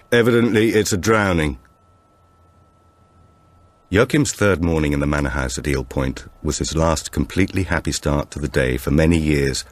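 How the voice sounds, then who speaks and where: Man, very deep voice, scripted thriller narration, measured and suspenseful, lively intonation. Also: no echo. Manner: measured, suspenseful storytelling with animated dialogue. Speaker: audiobook narrator.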